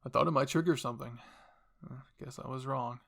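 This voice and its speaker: Guy acting as a cold royal dad in an anime fan story, bit of fry, bossy and explaining his scheme. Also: medium pitch, a little fast, a bit bright. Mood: coolly musing. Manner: commanding, explanatory, unremorseful. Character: cold commanding royal father, calmly scheming. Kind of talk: dramatic anime fan fiction reading.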